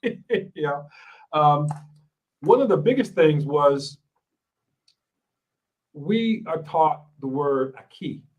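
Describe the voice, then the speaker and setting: A man's voice: on the high side, animated, takes it slowly, long pauses, lo-fi sound. A man from Ohio hosting a podcast, chatting casually, laughing often and restarting his sentences. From Ohio, United States. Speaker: podcast host. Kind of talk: casual interview chat.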